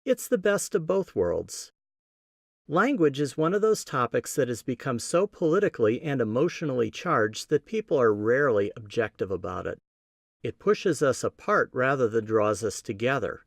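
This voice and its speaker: A man with a Midwestern American accent hosting a podcast, giving a scripted teaching talk in a measured, explanatory way with emphatic stresses. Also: medium pitch, sing-song, dry recording, clean studio sound. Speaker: podcast host.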